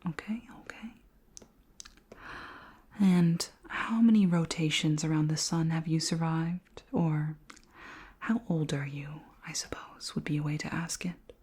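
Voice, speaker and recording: A very breathy, whispering alien queen, acted by a woman in an ASMR roleplay. She is curious, polite and regal, speaking slowly and formally in a low-pitched, soft voice. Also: fairly even intonation, heavily acted. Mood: inquisitive, clinical. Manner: slow, formal, deliberate questioning.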